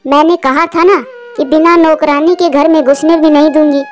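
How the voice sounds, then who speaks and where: A bossy, nagging crow wife from a cartoon, played by a woman. She scolds in a very high voice with music behind her. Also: steady tone, moderate pace, close to an everyday voice. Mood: angry, nagging. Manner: scolding, demanding. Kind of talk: Hindi cartoon dialogue.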